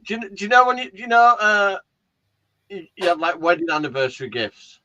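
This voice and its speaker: A man's voice: high-pitched, very animated, brisk, sharp tone, dry recording. A man in his late forties chatting casually with friends, jokey, teasing and sweary. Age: late 40s. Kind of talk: casual group chat. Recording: dull lo-fi chat audio.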